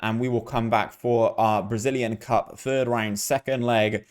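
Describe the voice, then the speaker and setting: A man's voice: medium pitch, steady tone, a little slow, clean tone. A man hosting a gaming video, giving casual, chatty play-by-play and talking directly to his viewers. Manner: chatty, addresses viewers as guys.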